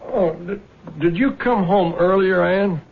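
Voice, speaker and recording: An actor playing a weary, troubled older father in a radio drama, with a halting, folksy way of talking. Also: high-pitched, narrow, tinny audio, background noise. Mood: uneasy. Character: weary aging widowed father, troubled and honest. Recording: thin band-limited old radio recording.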